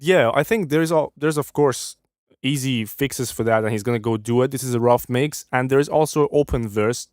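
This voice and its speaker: A man's voice: medium pitch, moderate pace, a little mellow, no echo, studio quality. A young man from Iran, hosting a live stream and giving casual, friendly feedback on music, often thinking aloud and restarting his sentences. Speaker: host. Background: faint music playing.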